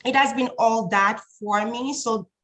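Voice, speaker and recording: A woman's voice: on the high side, unhurried, a bit bright. A woman from Haiti, speaking thoughtfully in a casual talk show chat and often repeating words as she searches for them. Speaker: co-host.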